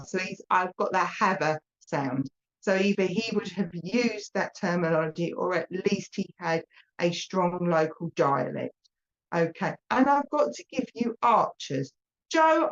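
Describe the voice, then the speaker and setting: Essex woman, mid-pitched, warm chatty medium relaying messages over slightly muffled stream audio. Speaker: medium giving readings. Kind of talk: live psychic reading to viewers.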